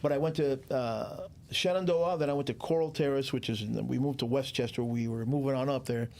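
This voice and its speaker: A man in his sixties, from Cuba, speaks as a guest with a gravelly, fry-tinged voice at an ordinary middle pitch. He rambles through his stories in a relaxed, easygoing way, leaving frequent pauses and fillers. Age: 60s. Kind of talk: casual interview chat.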